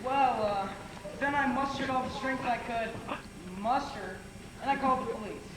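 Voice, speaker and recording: A man's voice: very high-pitched, moderate pace. Young man playing a dramatic jokester in a stage comedy; distant, very noisy theater recording. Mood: theatrical storytelling. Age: young adult. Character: joking, dramatic young man among friends. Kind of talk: amateur stage play acting. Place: stage in a school auditorium. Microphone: far camera. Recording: distant camcorder in echoey noisy theater, audience.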